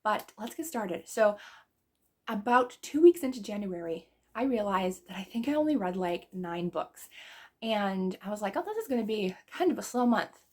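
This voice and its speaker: A woman's voice: mid-range voice, expressive, normal speed, darkish tone. A woman book vlogger losing her voice, chatting casually through her monthly reading wrap-up with rambling asides and small laughs.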